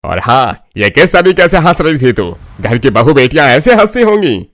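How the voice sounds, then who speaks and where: A man's voice: medium pitch, big pitch swings, moderate pace, pitched above the performer's own voice. Man acting a greedy, scolding father. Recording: music bed under the voice.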